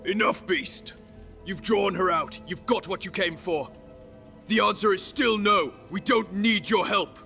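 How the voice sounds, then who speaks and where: An actor playing an imperious, outraged royal in a fantasy audio drama, commanding and forceful. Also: male voice, sits high, measured pace, creaky, faint music behind, full character voice. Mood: angry defiance.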